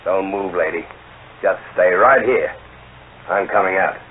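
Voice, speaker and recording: An actor playing a sneering, bullying American gangster in a tough, slangy 1940s radio crime drama style. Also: male voice, low-pitched, a little slow. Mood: menacing, gloating. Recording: tinny, noisy old radio recording.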